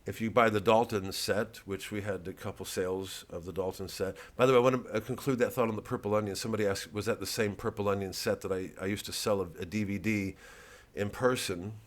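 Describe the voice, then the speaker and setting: A man with an American accent and a lowish voice delivers a casual comedian's monologue. He wanders from one aside to the next and swears freely, as if chatting straight to his followers. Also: steady tone, fast, hardly any pauses. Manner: rambling, digressive, profane. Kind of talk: casual vlog monologue to fans.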